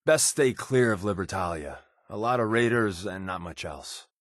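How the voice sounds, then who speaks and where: A man voicing a wry, secretive spy companion in a video game, with casual, sardonic, deadpan quips. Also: fairly low pitch, moderate pace, no room sound, clean studio sound. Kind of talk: game companion dialogue lines.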